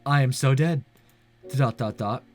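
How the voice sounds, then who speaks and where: A man's voice: medium pitch, measured pace, faint music behind, pitched below the performer's own voice, close to an everyday voice. A man reading aloud as an anxious teenage schoolboy narrating a spooky visual novel, wry and muttering to himself. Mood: nervous, exasperated.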